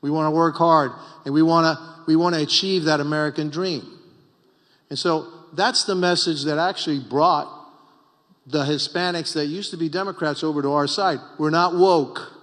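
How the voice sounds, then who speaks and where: A man's voice: a little high, steady tone, moderate pace, fairly bright tone, big-room sound. A middle-aged Cuban-born man, a congressman and former mayor, giving emphatic, repetitive panel remarks at a political retreat. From Cuba.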